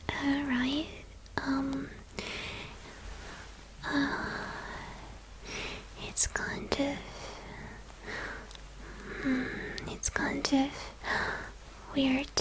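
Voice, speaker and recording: A woman voicing a shy, awkward young vampire student in a whispered ASMR role play, halting and confiding. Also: high voice, monotone, noisy background.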